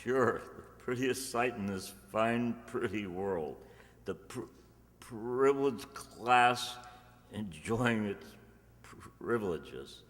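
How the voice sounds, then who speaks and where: A man's voice: on the low side, lively intonation, slow pace, a bit bright. A man playing a tipsy, sardonic suitor in a radio play, stammering and repeating his words. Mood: tipsy, tender. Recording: echoey hall, long reverb.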